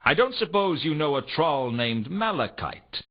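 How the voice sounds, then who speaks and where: An actor playing a world-weary, sardonic private detective in a noir video game, with a wry, deadpan, pressing delivery. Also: male voice, a little high, a little slow, full character voice. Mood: businesslike. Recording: dull, muffled old console game audio.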